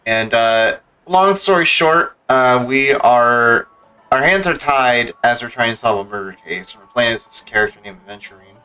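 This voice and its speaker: Bright-voiced rambling male streamer. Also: medium pitch, normal speed, clean tone, soft background music, low-quality recording. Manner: relaxed, rambling, many fillers. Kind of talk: casual let's-play commentary.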